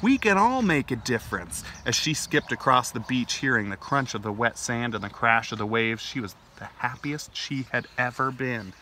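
A man in his thirties hosting storytime for young children outdoors, with an animated, gentle and expressive delivery. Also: medium pitch, moderate pace, hardly any pauses. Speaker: library storytime host. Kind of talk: read-aloud storytelling and chat for young children. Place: outdoors in a leafy garden. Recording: outdoor background noise.

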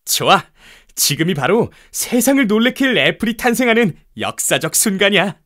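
A man's voice: high-pitched, very animated, normal speed. An actor playing a confident, ambitious young male inventor in a children's audio storybook, earnest and emphatic.

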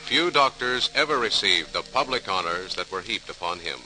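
Very bright, forceful American radio narrator, male. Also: lowish voice, animated, soft background music. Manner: formal, dramatic, measured phrasing. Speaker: announcer and narrator. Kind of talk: 1940s radio scripted narration. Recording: hissy, crackly 1940s radio recording.

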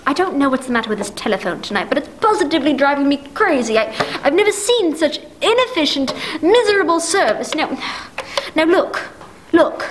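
A woman's voice: high-pitched, very animated, a little fast. A young woman acting a nervous, demanding bedridden wife who stammers fretfully, in a 1940s-style radio thriller play. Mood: indignant, exasperated. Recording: noticeable background noise.

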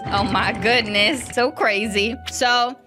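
A woman's voice: a little high, steady tone, slow pace. Theatrical young woman host over background music. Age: young adult. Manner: theatrical, playfully exaggerated. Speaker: gaming YouTuber, host. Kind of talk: playful casual chat, reading and answering viewer comments. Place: small indoor room set up for streaming. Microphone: close desk microphone with headphones.

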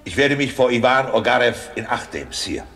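An actor playing a resolute, dutiful Russian army officer in a German radio play, terse and formal in a military way. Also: male voice, medium pitch, animated, a little fast, a bit bright.

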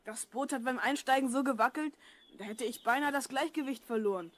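Woman playing a high-voiced, curious boy. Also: brisk, natural voice. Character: curious, slightly cautious twelve-year-old boy adventurer. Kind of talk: children's audio drama acting.

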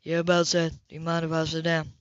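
Woman voicing a gruff, grumbling man. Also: low voice, monotone, quick pace, no echo, muffled recording, pitched below the performer's own voice, natural voice. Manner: curses and grumbles. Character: gruff frustrated adult man, brusque.

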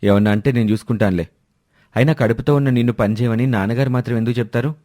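A man voicing a dutiful, protective elder son who speaks respectfully and earnestly in an animated moral story. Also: mid-range voice, rapid-fire, no room sound, clean studio sound, close to an everyday voice. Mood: reassuring.

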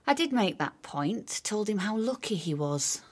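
Suspicious wife in a radio play, husky, dry and sardonic. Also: female voice, medium pitch, very animated, measured pace. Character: wounded, suspicious estranged wife, dryly sardonic. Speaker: actor. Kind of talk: radio drama acting.

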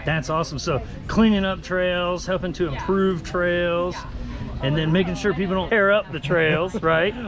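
A man's voice: high voice, steady tone, moderate pace, a crowd in the background. A man hosting casual interviews at an outdoor expo, with short, upbeat replies. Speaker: vlogger host interviewing exhibitors.

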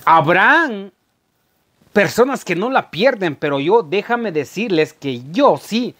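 A middle-aged man, chatting casually to camera in a halting, repetitive way as a vlogger. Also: high voice, lively intonation, fairly bright tone. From Guatemala. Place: indoor room at home.

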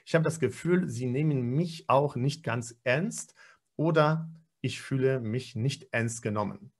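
A man in his forties teaches pronunciation in an online lecture, speaking into a webcam from a small room. His voice is gravelly and a little husky, sitting at a middle pitch. He explains emphatically and fills pauses with hesitations and restarts. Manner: explanatory, many fillers and self-corrections, emphatic. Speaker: pronunciation trainer. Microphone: webcam video call.

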